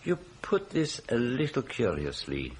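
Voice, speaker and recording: An actor playing a shrewd, courteous foreign detective in a radio drama, speaking in a measured, formal, questioning way with musing hums. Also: male voice, medium pitch, huge pitch swings, a little mellow, a little hiss or hum.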